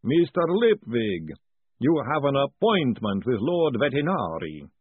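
A man voicing a slow, solemn male golem servant who speaks in flat, deliberate sentences. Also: medium pitch, breathy edge, dry recording. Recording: tinny phone-like audio.

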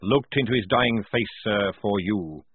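A man plays a gruff old sergeant who barks every line in a rough, forceful roar. The voice is husky. It sounds dry and tinny, like a phone line. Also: lowish voice, measured pace, dry recording. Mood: roaring, defiant. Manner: barking, forceful, rough speech. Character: gruff loud old male army sergeant.

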